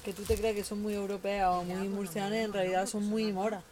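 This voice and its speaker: A woman's voice: mid-range voice, flat delivery, fairly quick, a crowd in the background. A young adult woman speaking thoughtfully in a casual group conversation.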